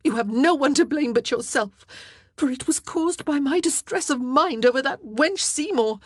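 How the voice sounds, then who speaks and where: A woman's voice: animated, moderate pace, dry recording. A woman voicing an anguished young queen who screams and sobs in jealous outbursts, in a dry, close recording. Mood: distraught. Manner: sobbing, high, screaming outbursts.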